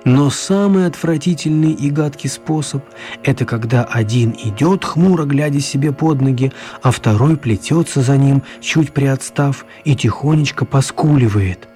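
A man's voice: medium pitch, moderate pace, mellow, faint music behind, close to an everyday voice. A man acting as the narrator of a children's story, in scripted storybook narration. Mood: storytelling. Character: male storyteller of a children's tale.

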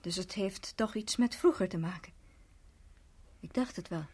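An actress playing a nosy, disapproving family friend in a radio drama, probing and opinionated in manner. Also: female voice, medium pitch, moderate pace, a little mellow, husky, natural voice. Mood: prying.

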